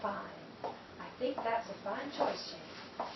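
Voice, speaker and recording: Muffled, noisy and echoey, a woman acts a haughty older female conspirator in an audio drama. Her high voice swoops widely, arch and pompous as she connives. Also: expressive, slow pace, distant mic. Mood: coaxing. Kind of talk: theatrical audio drama acting.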